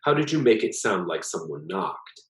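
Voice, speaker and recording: A man's voice: low-pitched, sing-song, normal speed, echoey room. A man voicing a skeptical wife in a story reading, questioning and exasperated. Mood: suspicious.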